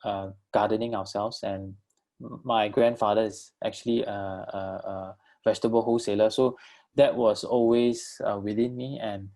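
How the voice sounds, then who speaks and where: Hesitant low, gravelly man presenting. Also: level tone, warm tone. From Malaysia. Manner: halting, many fillers and restarts. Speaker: co-founder presenting his enterprise. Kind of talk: virtual talk with live questions.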